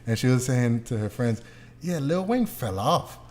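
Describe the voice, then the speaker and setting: A man talking casually and bluntly as a podcast host, opinionated and quick to swear. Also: medium pitch, lively intonation, a little mellow. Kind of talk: casual group podcast chat.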